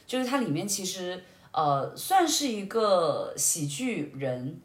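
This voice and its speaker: A young woman chatting casually and telling personal stories in an animated way, in short phrases. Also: lowish voice, slow. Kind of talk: casual conversational storytelling. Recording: roomy, echoey sound.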